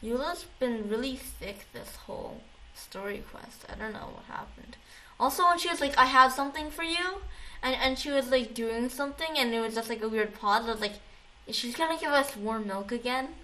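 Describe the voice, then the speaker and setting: A woman in a casual chat, retelling things in a halting, rambling way with repeated false starts. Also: fairly high pitch, animated, sharp tone. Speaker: co-host recapping a video game story. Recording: clearly noisy recording with background ambience.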